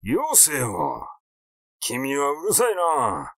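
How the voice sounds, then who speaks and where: A man's voice: medium pitch, wildly swooping pitch, extremely slow, fairly bright tone, dry recording, clean studio sound, full character voice. A man voicing a cynical, blunt and grumbling male character in a mystery audiobook. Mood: irritated.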